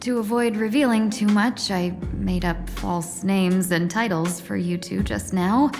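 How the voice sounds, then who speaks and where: A woman playing a sly, courteous fox lady and smooth-talking negotiator in a video game, measured and theatrical. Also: medium pitch, over music. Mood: polite.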